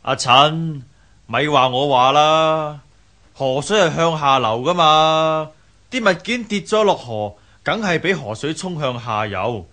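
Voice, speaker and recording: A plain-spoken, sceptical workman in a radio drama. His voice sits a little high for a man. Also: measured pace, sharp tone. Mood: grumbling. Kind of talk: Cantonese radio drama acting.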